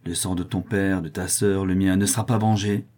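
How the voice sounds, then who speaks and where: A man's voice: deep voice, steady tone, moderate pace, darkish tone, lightly acted. A man voicing a fierce, sneering, vengeful criminal mother awaiting execution, full of cold fury and scorn, in a dramatic story reading. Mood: defiant hatred.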